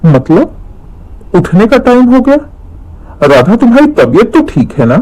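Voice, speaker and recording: Man acting high-voiced, exasperated young husband. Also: very animated, measured pace, darkish tone, full character voice, low-quality recording. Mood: puzzled, concerned. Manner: pleading, irritated questioning. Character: tired, exasperated young husband caught in household quarrels. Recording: music under the voice.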